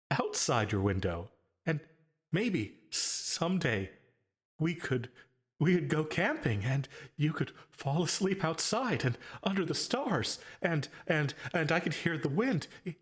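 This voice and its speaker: A male actor performs a lonely demon who longs to be real, speaking close and intimately in an ASMR roleplay. His husky, breathy voice moves slowly, with hesitant stammers and long halting gaps. He sounds anxious and possessive, a monster unsure of himself. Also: on the high side, full character voice.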